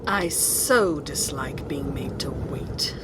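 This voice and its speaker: A woman's voice: low-pitched, takes it slowly, noisy background. A woman playing a cruel, impatient evil empress in a fantasy audio drama, haughty in her commands and savoring her threats. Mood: menacing, scheming.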